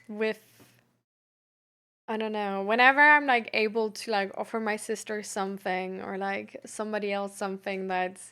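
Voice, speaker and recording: A woman chatting casually like a livestream host reading and answering messages, halting and giggly, with lots of "like" as filler. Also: mid-range voice, bright, forceful tone, clear, resonant tone.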